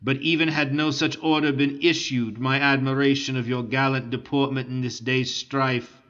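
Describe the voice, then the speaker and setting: A man reading an audiobook voices a cool, guarded male renegade commander speaking with measured courtesy. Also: medium pitch, little pitch movement, fairly bright tone, lo-fi sound, natural voice.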